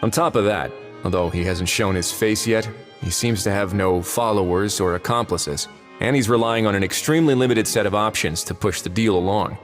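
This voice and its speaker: An actor playing a calm, authoritative male warden in a video game, speaking in a cool, measured, dryly commanding way. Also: lowish voice, faint music behind, lightly acted. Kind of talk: video game cutscene dialogue.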